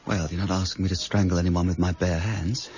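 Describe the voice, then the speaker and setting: An actor in a radio drama playing a troubled, serious civilian man who talks hesitantly and deliberately. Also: low voice, flat delivery, darkish tone, lo-fi sound. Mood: uneasy. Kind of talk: 1990s radio drama acting.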